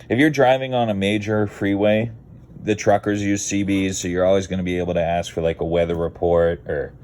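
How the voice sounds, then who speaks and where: A man's voice: sits low, darkish tone, slight background noise. A Canadian man giving a casual, rambling how-to vlog in a laid-back, blunt, digressive way. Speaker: car video creator.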